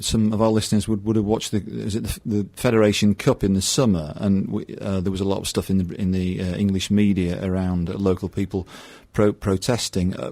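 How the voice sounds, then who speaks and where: Low-voiced male radio host, dry sound. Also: darkish tone, no echo. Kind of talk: radio interview.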